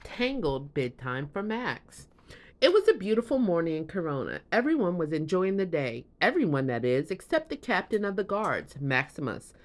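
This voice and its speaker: A woman reading a children's bedtime story aloud as an expressive storyteller. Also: lowish voice, normal speed.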